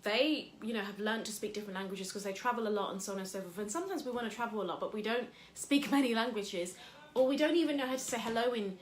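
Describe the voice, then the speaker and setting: A woman's voice: mid-range voice, fast talker, echoey room, slight background noise, rough recording. A woman from England hosting a casual motivational talk. She talks in a chatty, rambling way with lots of fillers. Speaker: host.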